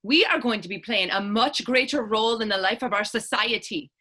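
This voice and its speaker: A woman's voice: on the high side, brisk, sharp tone. A woman playing Hannah, a spirited, determined Irish actress and patriot, in staged readers theater dialogue with a coaxing, earnest lilt. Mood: fervent.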